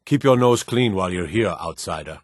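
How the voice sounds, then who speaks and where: An actor playing a stern, dutiful fantasy guard and soldier in a video game, formal and commanding, with measured delivery. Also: male voice, deep voice, expressive, no room sound, studio quality. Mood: stern, matter-of-fact. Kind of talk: scripted fantasy game dialogue.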